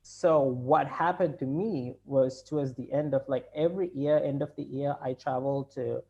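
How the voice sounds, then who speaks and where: Gravelly Sri Lankan man on a video call. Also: medium pitch, moderate pace, a little mellow. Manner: rambling, many fillers and restarts. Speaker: company CEO guest. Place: home room indoors. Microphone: laptop video call. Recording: dull lo-fi video call audio.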